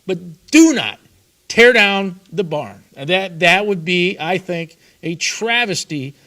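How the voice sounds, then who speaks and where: High-voiced upbeat sports show host. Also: male voice, big pitch swings, slow, bright, forceful tone. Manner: upbeat, emphatic, repeats words for punch. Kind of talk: sports talk show hosting.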